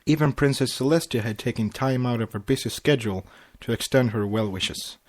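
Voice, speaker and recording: A man's voice: mid-range voice, a little fast. A man co-hosting a podcast, chatting casually and reading fan fiction aloud. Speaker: podcast co-host and story reader.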